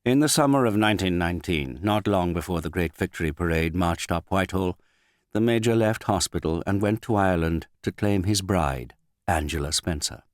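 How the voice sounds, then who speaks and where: A man narrating a literary novel as an audiobook reader, with a measured, understated delivery and finely modulated voice. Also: deep voice, dry recording, clean studio sound.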